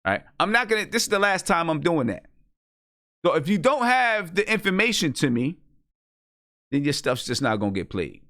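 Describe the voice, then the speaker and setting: A man hosts a music show in casual, insistent talk, laying down guidance for his listeners. His pitch moves around a lot, and the sound is dry and close, with very little room on it. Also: sing-song, normal speed, sharp tone, no echo. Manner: instructive, insistent, often checking with "right?". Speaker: host of an independent hip hop music review show. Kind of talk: casual live radio-show talk addressed to artists and listeners.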